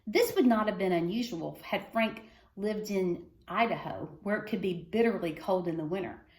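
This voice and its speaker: A woman's voice: mid-range voice, moderate pace, darkish tone, roomy sound. A woman reading a children's story aloud for schoolchildren, phrasing expressively and stressing key words. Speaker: reader of a children's book.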